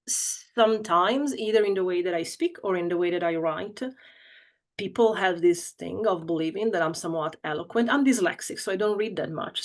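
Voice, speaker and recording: A woman with an Italian accent speaks in a low-pitched voice. She gives a reflective talk about her writing, pausing often with fillers and small laughs between phrases. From Italy. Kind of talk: informal talk to an audience.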